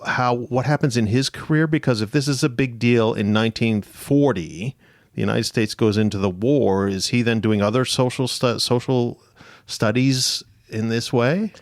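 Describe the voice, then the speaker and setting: A man's voice: mid-range voice, normal speed, dry recording, clean studio sound. A man hosting a history podcast, putting questions to academic guests. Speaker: podcast host.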